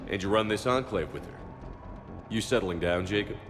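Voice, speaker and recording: Sci-fi game lead: male actor as stern, resolute commander, low-pitched and clipped, in an echoey hall over loud noise. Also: moderate pace, over music, close to an everyday voice. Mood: probing, familiar. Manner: terse, authoritative. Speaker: game protagonist. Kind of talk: cinematic video game dialogue.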